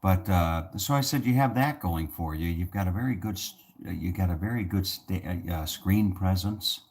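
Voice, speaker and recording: Very deep-voiced man rambling softly. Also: moderate pace. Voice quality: soothing voice. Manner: rambling, many restarts and fillers. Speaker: channel host. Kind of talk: casual talk to camera.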